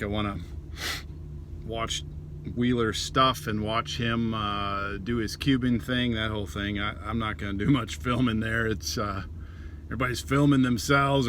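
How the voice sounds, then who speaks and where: A man's voice: mid-range voice, bright, forceful tone, a little hiss or hum. A man with an American Midwestern accent, chatting casually and offhandedly as a vlogger, mumbling a little as he rambles. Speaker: vlogger, reseller. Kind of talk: casual rambling vlog talk.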